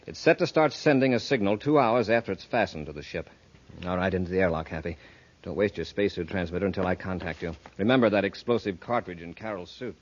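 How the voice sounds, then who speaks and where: An actor playing a decisive, authoritative space patrol commander, giving brisk, firm orders in 1950s radio serial style. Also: male voice, sits low. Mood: firm, urgent. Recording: dull, noisy old radio recording.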